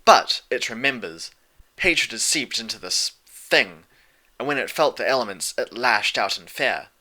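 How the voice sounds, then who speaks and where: A solemn pony princess of the night in a storybook, read by a man. The tone is bright and forceful, the phrasing formal and grave. She is stern. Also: medium pitch, animated, a little slow, heavily acted.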